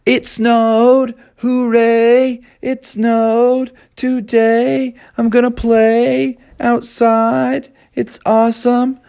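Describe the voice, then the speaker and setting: An exuberant young boy frog from a children's storybook, acted out by a man. The voice sits very high and swings widely in pitch, bubbling with excitement. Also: normal speed, gravelly, full character voice. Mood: overjoyed. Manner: exuberant, shouting and singing exclamations.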